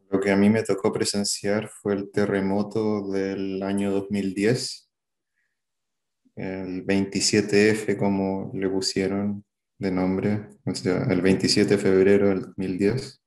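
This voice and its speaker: Chilean man in his thirties, interviewee; low, flat, gravelly voice, hesitant delivery, echoey room. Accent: Chilean Spanish. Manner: halting, hesitant, many fillers and restarts. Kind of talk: recalling a past event in an interview.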